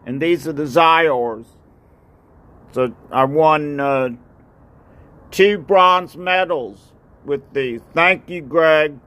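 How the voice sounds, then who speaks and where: Older man, phone video outdoors; slow, halting, filler-heavy delivery, slightly raised pitch. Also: a bit bright. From North Carolina. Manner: rambling and halting, with many fillers and repeated thanks. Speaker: ski racer and video maker. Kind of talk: casual home video. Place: outdoor porch. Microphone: phone camera at arm's length.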